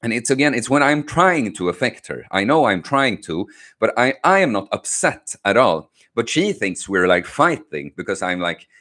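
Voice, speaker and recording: A man's voice: medium pitch, sing-song, moderate pace, bright, forceful tone. A young man, a non-native English speaker whose first language is Swedish, hosting a casual livestream and answering questions from the chat, with many fillers and restarts.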